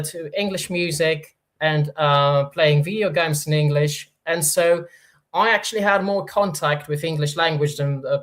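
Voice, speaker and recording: A man from Poland with a Polish accent touched by Norwegian, hosting a casual livestream Q&A and reading chat comments, hesitant with many fillers and restarts. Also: on the high side. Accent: Polish, with Norwegian influence. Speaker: livestream host.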